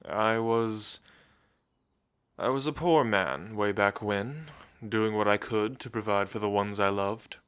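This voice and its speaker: A man playing an elegant, centuries-old vampire in an ASMR roleplay, suave and theatrical, with musing pauses. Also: on the low side, a bit bright, clear, resonant tone. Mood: wistful, solemn. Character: centuries-old elegant male vampire, languid, teasing, world-weary.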